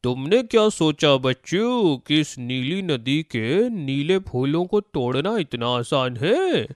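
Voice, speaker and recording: A man's voice: on the high side, very animated, slow, dry recording, studio quality. A man voicing a challenging crocodile who guards magical flowers and poses riddles in a children's story. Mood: stern, challenging. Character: riddle-posing crocodile guarding magical river flowers, testing but fair. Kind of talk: Hindi children's moral story voice acting.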